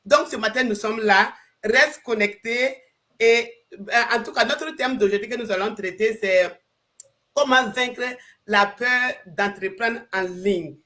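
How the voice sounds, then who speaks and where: A woman's voice: on the high side, a little slow, pushed and bright. A woman from Burkina Faso hosts a live online chat, hesitating and restarting her phrases. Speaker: livestream host.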